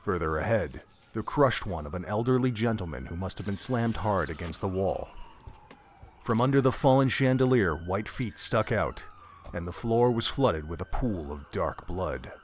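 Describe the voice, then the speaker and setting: A male narrator with a low-pitched voice. He delivers scripted, dramatic prose for an audio drama, told like an audiobook. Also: lively intonation, moderate pace, a little hiss or hum.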